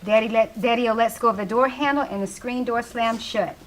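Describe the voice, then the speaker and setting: Higher-voiced woman narrating a play. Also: a little fast, a little hiss or hum. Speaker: stage directions narrator. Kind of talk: scripted narration.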